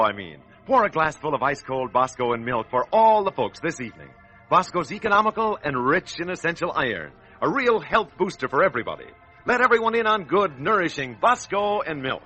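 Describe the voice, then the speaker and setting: A man's voice: on the high side, big pitch swings, a little fast, bright, forceful tone, soft background music, poor audio. An American man announcing a 1940s children's radio show in a hearty, salesmanlike manner, on an old radio recording.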